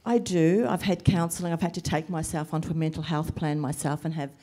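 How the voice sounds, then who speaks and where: A middle-aged woman talks on a panel about caring for family, earnest and measured. Her voice sits low and mellow, with the weariness of someone short on sleep. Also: steady tone. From Lebanese family, raised in New Zealand and Australia. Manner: earnest, emotional, measured with pauses. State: sleep deprived. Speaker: panelist, carer and social worker. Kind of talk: panel discussion answers.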